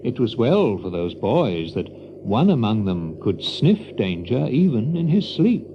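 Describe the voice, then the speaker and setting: A man's voice: mid-range voice, big pitch swings, a little slow, highs cut off, background noise. A man narrating a children's story in a dramatic storybook style. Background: music.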